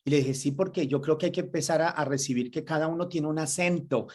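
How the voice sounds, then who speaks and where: An enthusiastic host with a mid-range voice rambles warmly through long thoughts, leaning on fillers and restarts. It sounds like a casual interview held over a video call. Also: mid-range voice, fast talker.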